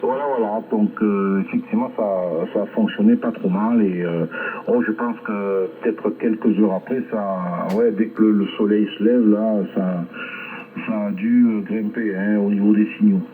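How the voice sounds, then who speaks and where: A man chatting casually as an amateur radio operator over a shortwave link, rambling hesitantly with long filled pauses. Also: on the low side, fairly even intonation, narrow, tinny audio. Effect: shortwave ham radio transmission. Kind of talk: casual ham radio contact chat. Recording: band-limited shortwave radio reception.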